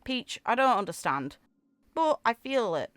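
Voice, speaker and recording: A woman's voice: on the high side, very animated, measured pace, a bit bright, dry recording. A woman gaming creator reading story text aloud expressively, with casual commentary. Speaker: gaming video creator reading game character lore aloud. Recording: music playing under the voice. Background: background music.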